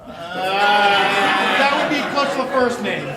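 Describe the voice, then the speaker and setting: A man's voice: high voice, animated, takes it slowly, a loud crowd. A man improvising a detective who questions a suspect with teasing, chuckling hints on a live stage. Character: male detective interrogating a suspect. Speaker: improv performer. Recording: echoey stage, loud audience laughter and noise.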